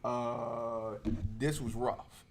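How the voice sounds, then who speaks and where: Man, review co-host, mid-pitched voice, casual chat, excitable, slangy, emphatic repetition. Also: drawn-out words, background noise. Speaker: comic book review co-host.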